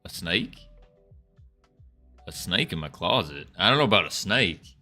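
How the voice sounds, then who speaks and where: A man's voice: deep voice, big pitch swings, unhurried, bright, forceful tone, faint music behind. A young man chatting casually as a livestreamer, putting on an American Southern accent with playful, folksy cowboy talk. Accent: put-on American Southern. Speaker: live streamer chatting with viewers.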